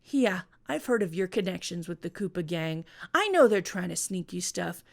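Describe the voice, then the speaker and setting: A woman's voice: lowish voice, expressive, moderate pace, gravelly. A woman voicing a tough young female prison boss, curt, cool and menacing, in a comic story reading. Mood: smug bargaining.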